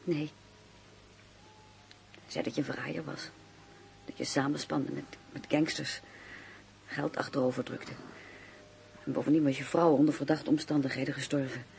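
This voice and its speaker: Creaky-voiced, remorseful woman informant. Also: low voice, steady tone, normal speed, pauses often, darkish tone. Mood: confessing. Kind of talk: Dutch radio drama acting. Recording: noisy radio play recording.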